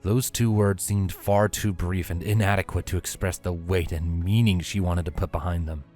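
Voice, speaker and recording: Low-voiced male story narrator. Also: fairly even intonation, normal speed, warm tone, soft background music.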